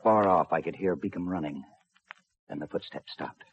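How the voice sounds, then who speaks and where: Guy acting a low voiced hardboiled railroad cop, clipped n commanding, old muffled noisy radio show. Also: normal speed, faint music behind, close to an everyday voice. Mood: grim narrating. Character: tough, steady railroad police lieutenant, telling his own story. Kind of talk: 1950s radio crime drama acting and narration.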